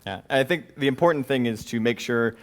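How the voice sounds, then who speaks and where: A man presenting at a tech conference, answering audience questions informally in halting phrases peppered with filler words. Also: mid-range voice. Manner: hedging, halting explanations peppered with "you know".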